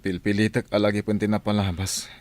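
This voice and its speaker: A man's voice: fairly low pitch, flat delivery, fairly quick, a little mellow, natural voice. A man with an Ilocano Filipino accent playing a bewildered, injured amnesiac in a radio drama. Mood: confused.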